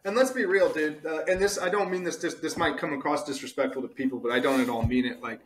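A man's voice: fairly high pitch, fast, poor audio. A man hosting a podcast, talking casually in an earnest, emphatic, motivational way and repeating his points for weight. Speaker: podcast host.

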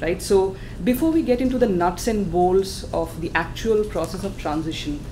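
Soft-voiced lady presenting at a panel, lowish voice, noisy room. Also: animated, moderate pace. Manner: soft-spoken. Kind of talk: conference presentation. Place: conference room.

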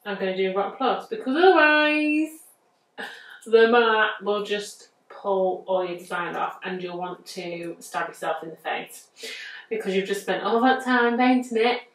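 A woman's voice: medium pitch, a little slow. A woman in her thirties with a northern English accent, talking through a nail art design step by step in a chatty, easygoing way as she thinks aloud. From England. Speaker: nail artist demonstrating a design. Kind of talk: casual step-by-step tutorial talk. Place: bright indoor studio. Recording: roomy, distant mic.

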